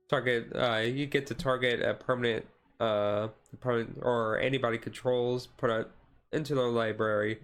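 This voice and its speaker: A man giving a casual, halting ASMR-style commentary as a streamer, explaining things in a rambling way. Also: medium pitch. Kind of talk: casual card deck review commentary.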